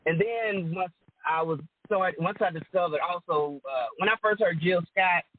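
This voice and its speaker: A woman with a deep alto voice, chatting casually as a guest and laughing often. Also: normal speed, slight background noise. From Rome, Georgia, US. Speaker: guest singer and entertainer. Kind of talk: casual chat. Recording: dry phone-line call audio.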